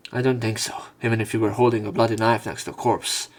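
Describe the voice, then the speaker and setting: A man's voice: on the low side, level tone, quick pace. A man reading visual novel text aloud and commenting casually on it as a let's player.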